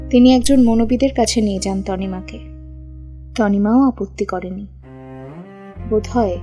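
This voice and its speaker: Female storyteller, somewhat high pitch, scripted narration, soft music bed. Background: background music.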